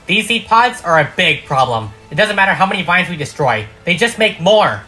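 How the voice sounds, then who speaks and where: Confident cartoon pegasus girl, done by a man: brisk, urgent, matter-of-fact, lifted pitch, sharp tone. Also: sing-song, soft background music, full character voice. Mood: alarmed. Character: brisk, confident young female flying pony scout.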